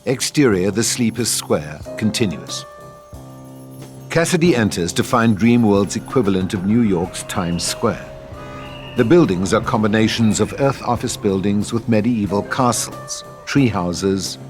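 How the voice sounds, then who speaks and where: A man narrates a script's scene directions in a scripted reading style. His voice is fairly low, and music plays clearly behind him. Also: lively intonation, a little slow.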